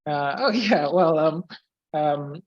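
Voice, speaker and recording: A young man from Brazil who speaks with an English accent, explaining physics in a question-and-answer session. He hesitates often, restarting phrases, filling gaps and clearing his throat. The call sounds slightly dull and dry, close and without room sound. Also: on the high side, takes it slowly, dry recording. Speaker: guest physicist.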